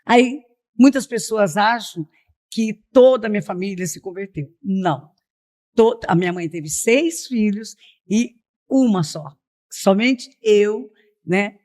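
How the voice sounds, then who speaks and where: A woman's voice: mid-range voice, a little mellow. A middle-aged woman, telling her story as an interview guest and often checking in with "né?". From Piracicaba, São Paulo state, Brazil. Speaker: missionary, podcast guest. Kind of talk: personal testimony in a conversational interview.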